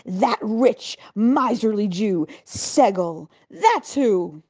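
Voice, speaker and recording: Raging, pompous governor, read by a woman; booming, scornful, big pitch leaps. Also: lively intonation, very slow, darkish tone. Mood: furious ranting. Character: arrogant, furious male provincial governor.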